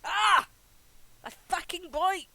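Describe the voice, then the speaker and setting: A man's voice: very high voice, slow pace, bright, forceful tone, no echo. A man giving theatrical, exclamatory, sweary commentary on a game livestream. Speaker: game streamer.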